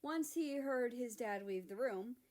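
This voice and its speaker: A woman's voice: fairly high pitch, animated, a little slow, darkish tone. A woman narrating a piece of fiction, reading it aloud as a storyteller.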